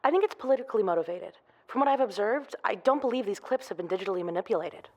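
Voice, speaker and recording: A woman's voice: mid-range voice, expressive, quick pace, darkish tone. Careful, formal female expert. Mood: cautious, professional. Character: cautious, professional female forensic audio examiner. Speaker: expert podcast guest. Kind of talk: scripted audio drama interview.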